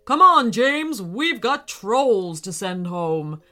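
Storybook wizard teacher, a woman putting him on: brisk, cheery, encouraging, bright and animated. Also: mid-range voice, exaggerated voice. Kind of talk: storybook character voice.

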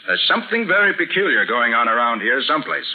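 An actor playing a confident, wry American private detective in a 1940s radio drama, brisk and dryly sarcastic. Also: male voice, medium pitch, big pitch swings, loud music behind the voice. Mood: businesslike. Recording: hissy, tinny 1940s radio broadcast.

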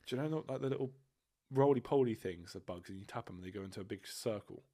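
A man's voice: fairly low pitch, quick pace, mellow. A young man, co-hosting a podcast in crude, joking banter, his voice nasal and blocked up. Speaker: podcast co-host. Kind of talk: casual chat.

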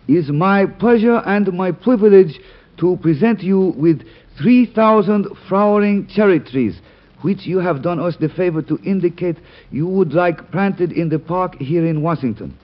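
A man playing a dignified Japanese gentleman diplomat in an old radio drama, speaking in a formal, courteous, ceremonious way on a muffled vintage broadcast. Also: sits high. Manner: formal, ceremonious speech-making. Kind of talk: 1940s-50s radio drama acting.

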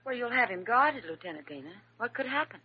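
An actress in an old radio drama playing a calm, kindly nurse with a gentle manner, on a muffled and noisy recording. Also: female voice, on the high side, fast talker, husky. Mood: composed.

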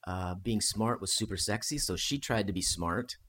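A man's voice: lowish voice, moderate pace, a bit bright, slight background noise. A man telling history stories in a casual, conversational way as a podcast guest. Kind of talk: casual chat, storytelling about history.

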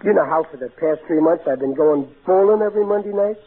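A man's voice: fairly high pitch, fast talker, breathy edge. An actor playing a blundering, good-natured working-class father in old radio comedy, with folksy ungrammatical speech and stammering. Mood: proud, sheepish. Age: middle-aged. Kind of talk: 1940s radio comedy acting. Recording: tinny, narrow-band old radio recording.

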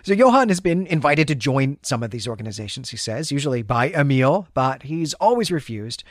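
A male podcast host with a medium-pitched voice talks through a story in a conversational recap. He explains things with enthusiasm. The sound is dry, with hardly any room to it. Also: very animated, fairly quick, no echo. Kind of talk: conversational literary recap with read-aloud passages.

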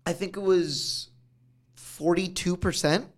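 High-voiced man in animated casual talk. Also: slow pace. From United States. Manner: animated, acts out quoted exchanges. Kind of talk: casual discussion.

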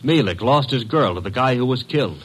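Lively, wisecracking American sidekick. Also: male voice, mid-range voice, brisk, husky, low-quality recording. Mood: brisk, keen. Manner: breezy, wry, wisecracking. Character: wisecracking, brisk male detective's assistant, cheeky and loyal. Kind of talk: classic American radio detective acting.

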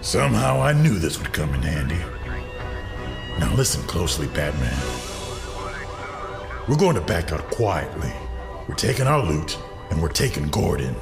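A man's voice: deep voice, very animated, normal speed, gravelly, long pauses, over music, full character voice. An actor playing a scheming, menacing male villain who taunts with theatrical relish. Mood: taunting. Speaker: villain in audio drama.

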